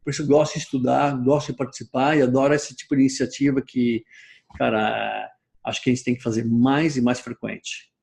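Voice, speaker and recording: A man's voice: medium pitch, flat delivery, a little fast, creaky, a little mellow. A man who runs a gym, talking casually and in a rambling way, a bit rusty in the language. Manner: rambling, says he is out of practice with the language. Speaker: gym owner, trainer. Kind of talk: casual discussion.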